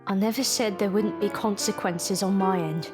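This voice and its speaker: A woman's voice: medium pitch, steady tone, normal speed, over loud music, natural voice. An actress playing a weary but determined, kind working-class London woman in an audio drama. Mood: resigned, resolute. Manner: earnest, plain-spoken.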